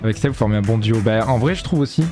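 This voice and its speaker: A man's voice: on the low side, very fast, over loud music. A young man from Marseille with no regional accent, chatting casually to his viewers with lots of fillers and restarts. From Marseille, France. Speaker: streamer debriefing a live event.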